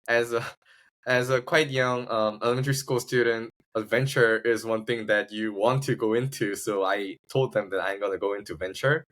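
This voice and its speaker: A man's voice: on the low side, a bit bright. A man from South Korea with a Korean accent, telling his story casually as a podcast guest, often searching for words. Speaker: podcast guest, startup founder. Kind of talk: casual personal storytelling in an interview.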